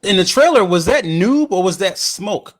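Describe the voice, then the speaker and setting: An American man with a fairly high voice in relaxed podcast banter. His intonation jumps around in a lively, animated way. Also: moderate pace. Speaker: podcast host. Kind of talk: casual group podcast chat.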